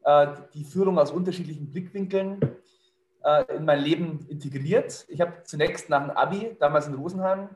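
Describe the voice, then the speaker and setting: A middle-aged man talks in a businesslike, fluent way, his voice a little higher than most. The sound comes from an echoey room. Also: normal speed, darkish tone, a little hiss or hum. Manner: fluent, businesslike, many fillers. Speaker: leadership consultant. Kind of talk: conversational interview answer.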